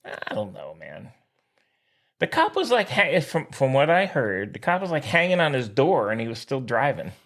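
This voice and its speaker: A man's voice: medium pitch, huge pitch swings, normal speed, a bit bright. A middle-aged man co-hosting a sports podcast, bantering casually in a playful, teasing, colloquial way.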